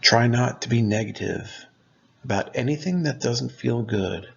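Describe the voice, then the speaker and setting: Gravelly-voiced man guiding a meditation. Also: medium pitch, unhurried, lo-fi sound. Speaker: meditation guide. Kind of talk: guided meditation narration.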